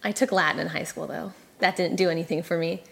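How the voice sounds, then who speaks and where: A woman's voice: fairly low pitch, fairly even intonation, quick pace, vocal fry, a bit bright. A woman speaking as a podcast guest in a casual, conversational style. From born in California, grew up in several countries. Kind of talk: casual chat.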